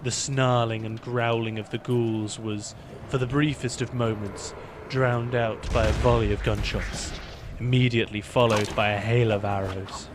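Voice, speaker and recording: A man narrating a fantasy battle in measured, scripted storytelling for a fan audio drama. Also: on the low side, steady tone, noisy background.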